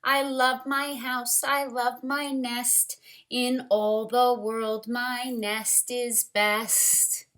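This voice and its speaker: A woman voicing a cheerful, eager male bird in a storybook, in slow, sing-song exclamations. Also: a little high, flat delivery, a bit bright, pitched above the performer's own voice. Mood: happy, singing.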